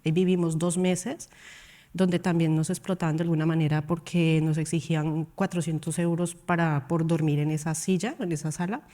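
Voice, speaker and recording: A woman from Colombia, a panel guest at a conference, giving a personal account with hesitant pauses and fillers. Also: deep voice, little pitch movement, dark tone. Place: conference hall.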